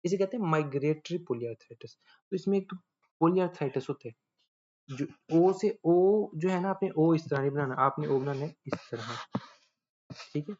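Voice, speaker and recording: A man giving a casual medical lecture, often pausing to check that listeners follow. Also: a little high, darkish tone. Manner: chatty, checks understanding often. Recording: dull lo-fi audio.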